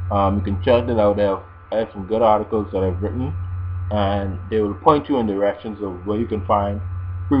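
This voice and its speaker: A man giving casual, explanatory advice to viewers in a language-learning video blog, often repeating his phrases as he goes. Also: on the low side. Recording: dull lo-fi sound with mains hum.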